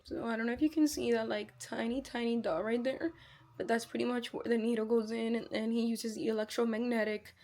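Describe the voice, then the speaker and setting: A young woman with a slightly breathy voice, chatting openly and personally in a casual home vlog. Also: on the high side, far from the mic, slight background noise. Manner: chatty, filler-heavy, open and personal. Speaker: vlogger. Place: at home, in her room. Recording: roomy echo, a little off-mic.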